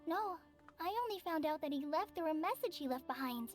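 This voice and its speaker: A gentle young woman forest trainee in a game, speaking high and soft with a polite, shy manner. She sounds tired, with a sigh in her voice. Game music murmurs faintly behind her. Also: expressive, fairly quick. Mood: earnest. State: tired and sighing.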